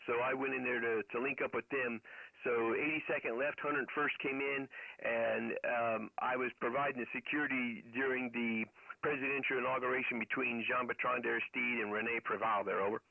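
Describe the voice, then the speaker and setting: A middle-aged man chats as an amateur radio operator, rambling through anecdotes with military jargon. His voice has a crackling fry at the edges. The sound is very narrow, like a telephone, and dry with no room around it. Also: mid-range voice, fairly even intonation, moderate pace, no room sound. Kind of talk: casual storytelling over ham radio.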